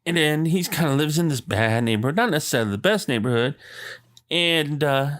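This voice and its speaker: A man's voice: a little high, lively intonation, a little fast, a bit bright. A man hosting his own podcast, ranting in a rambling, enthusiastic way full of fillers and asides. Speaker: podcast host. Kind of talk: casual solo podcast rant. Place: Arizona.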